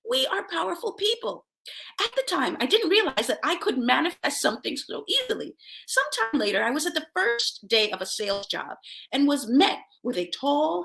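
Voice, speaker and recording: A woman from Jamaica hosting a self-help show, giving motivational talk and personal stories in an emphatic, expressive way, repeating key words for effect. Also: sits high, gravelly, a little hiss or hum, rough recording.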